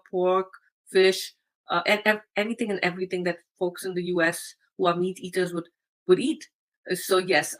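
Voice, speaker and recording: A woman's voice: on the low side, a bit bright. Woman with Indian English accent. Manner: measured, explanatory, occasional fillers. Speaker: vlogger. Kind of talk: explanatory talk to camera.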